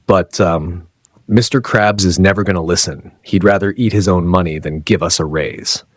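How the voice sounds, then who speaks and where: A man's voice: sits low, moderate pace, breathy edge, no room sound, studio quality. A man voicing an earnest, naive young cartoon sea sponge in an audio drama. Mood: resigned.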